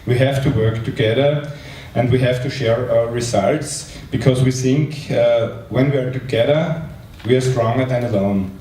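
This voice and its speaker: Mellow, halting man in a roomy space. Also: medium pitch. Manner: halting, repeats words. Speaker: private sector representative, company head. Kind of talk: public speech at a panel event. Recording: roomy, distant mic, faint noise.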